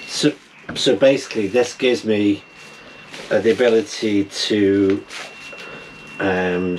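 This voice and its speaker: A middle-aged man chatting casually as he tinkers, halting and thinking aloud, recorded indoors on a camera mic. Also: fairly low pitch, darkish tone, a little hiss or hum, rough recording. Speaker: amateur radio vlogger. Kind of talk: casual vlog chat while tinkering and unboxing. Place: small wooden cabin, indoors.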